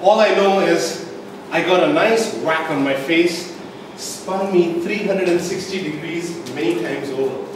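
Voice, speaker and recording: A man telling a comic tall tale as a speech to a live audience, with theatrical pauses and an emphatic build-up. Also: on the high side. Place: indoor meeting room, recorded from the audience. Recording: distant microphone, echoey room, background noise.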